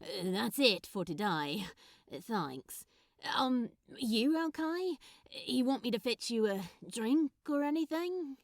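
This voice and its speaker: A woman's voice: a little high, expressive, slow pace, no echo, clean studio sound. A woman voicing an awkward, smitten man who speaks hesitantly, stammering with ums and uhs. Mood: flustered, smitten. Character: awkward, smitten adult man, bashful and flustered.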